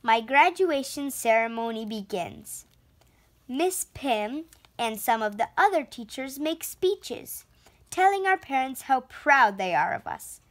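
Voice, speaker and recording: High-voiced, slow-paced woman reading a storybook. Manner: expressive, deliberate phrasing. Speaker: storybook reader. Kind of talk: children's read-aloud narration.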